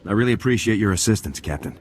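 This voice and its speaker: A man voicing a stoic, dutiful soldier in a video game, measured and terse. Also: fairly low pitch, expressive, slight background noise, natural voice. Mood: matter-of-fact. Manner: measured, terse, matter-of-fact.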